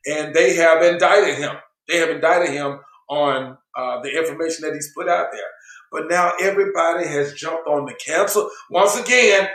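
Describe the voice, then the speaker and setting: A man's voice: on the high side, a bit bright, roomy sound, low-quality recording. A man hosting a casual online talk show in a laid-back, slangy way, saying he is losing his voice. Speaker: show host.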